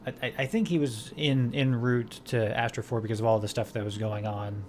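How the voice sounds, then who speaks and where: A man's voice: medium pitch, fairly even intonation, a little fast, darkish tone, a little hiss or hum. Rambling male podcast host. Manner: rambling, many fillers and restarts. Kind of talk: casual chat.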